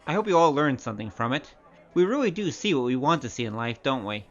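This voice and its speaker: A cocky young adventurer , acted by a man, breezy and wry, with a very lively voice. He is chatty and confiding as an audio drama storyteller, on a slightly dull recording. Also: medium pitch, fast talker, soft background music, close to an everyday voice. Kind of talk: audio drama acting and narration.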